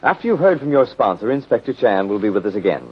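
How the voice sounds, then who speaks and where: A man's voice: on the low side, very animated, fast. A man announcing a 1930s radio mystery serial in the period style. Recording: muffled, noisy vintage radio recording.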